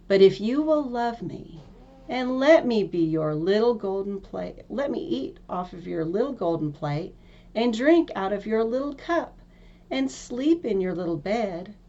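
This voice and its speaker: A woman's voice: medium pitch, lively intonation, a little slow, dark tone, highs cut off. A woman reading a storybook, voicing a small talking frog who pleads and makes insistent demands. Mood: bargaining.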